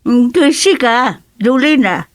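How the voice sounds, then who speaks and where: A woman's voice: a little high, lively intonation, slow pace. A woman playing a chatty old village grandmother with an Ilocano accent in a radio comedy drama. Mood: chatty, urging.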